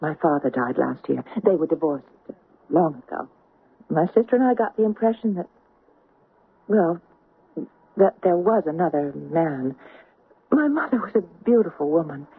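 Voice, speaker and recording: A young bride-to-be in an old radio play. Her low, husky voice is gracious and candid, lilting widely, on a thin band-limited recording. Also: animated, normal speed, pauses often, narrow, tinny audio, heavily acted. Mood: polite, candid. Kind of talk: radio drama acting.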